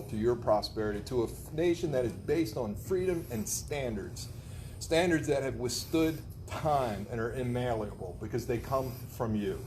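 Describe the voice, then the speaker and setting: A man's voice: mid-range voice, darkish tone, a little hiss or hum. A man reading scripture aloud and leading an earnest spoken prayer at a gathering. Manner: earnest, devotional. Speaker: prayer leader.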